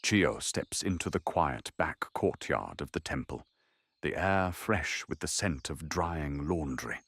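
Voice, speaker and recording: A man's voice: very deep voice, expressive, measured pace, a bit bright, breathy edge, no room sound, studio quality. A man narrating an audio drama in a dramatic, scripted storytelling style.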